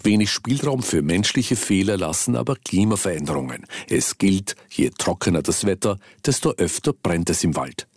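Male radio reporter, deep and husky, steady formal read. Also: no room sound, clean studio sound. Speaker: news reporter.

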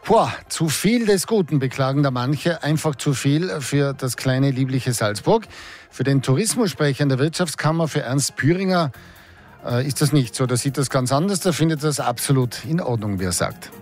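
A man's voice: mid-range voice, animated, normal speed, a little mellow, faint music behind. A man presenting on regional radio, loose and chatty with small fillers. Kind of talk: live radio moderation.

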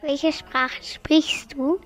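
A woman's voice: high-pitched, steady tone, very slow, a bit bright. A young girl asking other children short repeated questions. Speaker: child interviewer.

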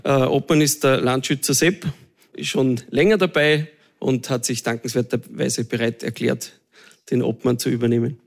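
A man's voice: mid-range voice, steady tone, normal speed, creaky. A man from Salzburg with an Austrian accent, a choir director answering interview questions hesitantly, with many fillers.

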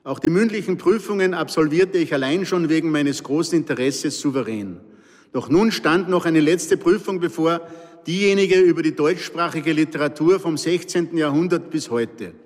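An older man with an Austrian accent reads his memoir in a calm, dry storytelling manner. His voice sits slightly high and is wrapped in a long reverb. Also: moderate pace. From Pinzgau, Austria. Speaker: author reading his memoir. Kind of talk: scripted narration.

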